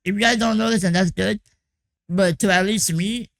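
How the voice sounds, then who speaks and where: A young man giving a casual vlog check-in on his music production boot camp, with a hoarse, raspy, croaky voice and a loose, rambling way of talking full of "like" and "you know.". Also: high-pitched, steady tone, normal speed, a bit bright.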